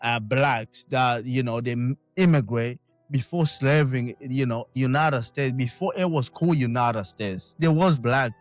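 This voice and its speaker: A man's voice: mid-range voice, a little slow, faint music behind. A man from Africa with an African accent, hosting a reaction video and speaking passionately in broken, repetitive English. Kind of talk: casual reaction commentary.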